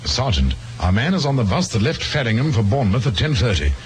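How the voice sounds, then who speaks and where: A man's voice: medium pitch, sing-song, quick pace, natural voice. An actor as a male police inspector in an old radio drama. He probes courteously with a dry, sardonic edge and swinging intonation, through faint recording noise. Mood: brisk, wry. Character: probing, dryly wry male police inspector.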